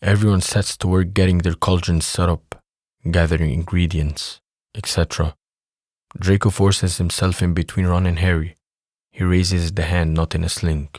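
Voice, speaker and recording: A man's voice: deep voice, little pitch movement, normal speed, darkish tone, no echo, clean studio sound. A man reading scripted narration for an audio drama.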